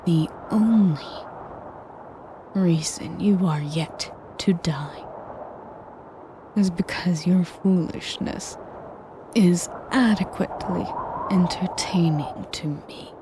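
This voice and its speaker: Woman acting as ancient female dragon, extremely slow delivery, breathy whisper, haughty, taunting, menacing, noisy ambience behind, audio roleplay. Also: fairly low pitch. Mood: taunting, condescending. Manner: slow, deliberate, condescending, taunting. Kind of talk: dramatic scripted roleplay monologue.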